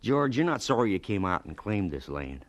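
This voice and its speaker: An actor playing a hearty, practical prairie settler in a radio drama, folksy and plainspoken in manner. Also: male voice, lowish voice, very animated, normal speed. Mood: hearty, warm.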